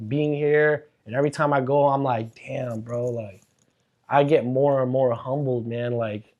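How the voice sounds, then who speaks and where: A man's voice: mid-range voice, fairly even intonation, measured pace, a little mellow, lo-fi sound. American male podcast guest, conversational, informal, bro-and-dude slang. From born in Karachi, Pakistan, grew up in South Florida. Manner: slangy, says man, bro and dude often. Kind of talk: casual conversation.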